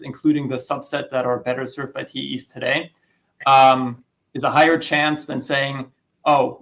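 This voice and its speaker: A man speaking as a guest on a video call, making a measured technical argument. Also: mid-range voice, a bit bright. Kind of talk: technical discussion.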